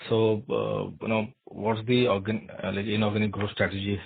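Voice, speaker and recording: A man's voice: fairly low pitch, flat delivery, normal speed, vocal fry, slightly rough. A man with an Indian accent, an analyst politely and hesitantly asking questions on an earnings call. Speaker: analyst caller. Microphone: phone call. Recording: muffled narrow phone line.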